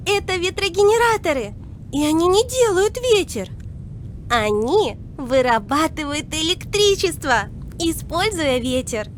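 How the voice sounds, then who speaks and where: High, cheerful cartoon talking encyclopedia. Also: female voice, big pitch swings, unhurried, slight background noise, exaggerated voice. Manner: friendly, giggly explaining. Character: cheerful, know-it-all talking encyclopedia girl. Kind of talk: children's educational cartoon acting.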